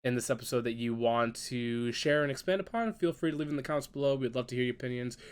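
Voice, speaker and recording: A man's voice: mid-range voice, fast, clean tone. A man hosting a casual podcast chat, still congested and stuffy-nosed from being sick, upbeat and steering the questions. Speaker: podcast host.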